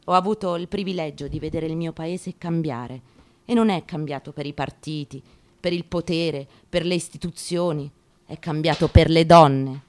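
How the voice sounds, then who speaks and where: A woman reading literary prose dramatically, in deliberate, emphatic short phrases. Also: fairly low pitch. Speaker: stage actress reading from a book. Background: music behind the voice.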